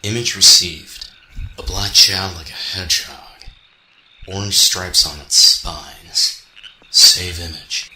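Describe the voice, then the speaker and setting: A man's voice: low voice, slow, pushed and bright, heavily acted. A man voicing a stoic cyborg hedgehog, part computer, in an amateur radio drama, reporting in clipped machine-like phrases. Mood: flat reporting. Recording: roomy sound with faint noise.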